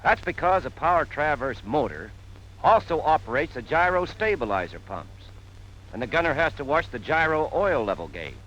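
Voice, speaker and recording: A 1940s American military instructor with a bright, forceful voice and lively, swinging intonation. He narrates in a punchy, colloquial way, explaining each step plainly. The soundtrack is an old film recording with faint noise. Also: fairly high pitch, normal speed, lo-fi sound.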